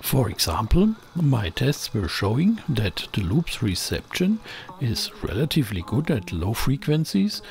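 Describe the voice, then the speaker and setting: A man's voice: mid-range voice, gravelly, a little mellow. A man presenting a scripted technical explainer in a didactic, emphatic way, as a close voice-over. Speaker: presenter of an electronics channel.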